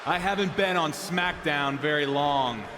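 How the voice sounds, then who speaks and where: A man playing a defiant young-in-the-company wrestling champion in a video game, giving a loud, emphatic in-ring promo over a noisy arena crowd. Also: on the high side, a little slow, close to an everyday voice. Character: defiant newcomer male wrestling champion challenging a boss.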